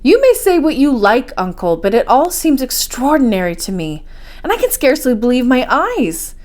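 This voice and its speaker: Woman reading as a curious, excitable young nephew. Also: sits high, moderate pace, pitched above the performer's own voice. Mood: amazed. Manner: questioning, excitable.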